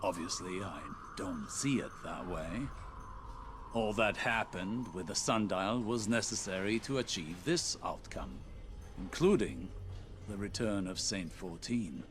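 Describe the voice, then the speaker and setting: An actor playing a grave, world-weary warrior prophet in a video game cutscene, speaking in a solemn, deliberate, ominous way. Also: male voice, sits low, lively intonation, fairly bright tone, a little hiss or hum. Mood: grave, foreboding.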